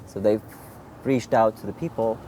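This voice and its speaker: A man in his thirties from Iraq, an Assyrian speaker, telling his own story in an interview in a halting way, with frequent restarts. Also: fairly low pitch, warm tone, a little hiss or hum, poor audio. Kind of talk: personal storytelling.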